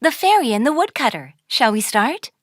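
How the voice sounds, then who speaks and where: A woman's voice: high-pitched, very animated, normal speed, dry recording, studio quality. A woman narrating a scripted folk tale for children as a storyteller. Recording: music behind the voice.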